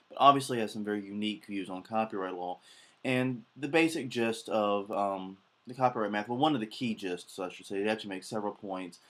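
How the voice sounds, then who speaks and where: A man with a head cold and a slightly scratchy voice, explaining copyright damages in a casual monologue full of ums and uhs. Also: lowish voice. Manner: frequent ums and uhs, thinking aloud. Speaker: blogger on copyright and plagiarism.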